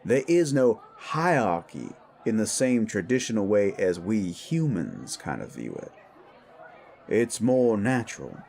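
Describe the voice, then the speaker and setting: A man's voice: on the low side, sing-song, unhurried, creaky, a little mellow, some chatter behind, full character voice. A man voicing an older, patient, experienced werewolf mentor who explains things frankly in an audio drama. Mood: patient, instructive. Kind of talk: scripted audio drama dialogue.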